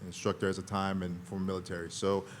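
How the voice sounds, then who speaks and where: Deep-voiced man rambling on a panel. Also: completely flat delivery, quick pace, slight background noise. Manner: rambling, quotes himself. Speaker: actor and panel guest. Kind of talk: casual panel talk. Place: convention panel room.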